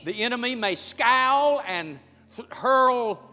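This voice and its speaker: A middle-aged male pastor preaching and praying fervently before his congregation, building to loud prayer. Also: very high-pitched, expressive, slow. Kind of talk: sermon and prayer. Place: large church sanctuary with congregation. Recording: church hall with music behind.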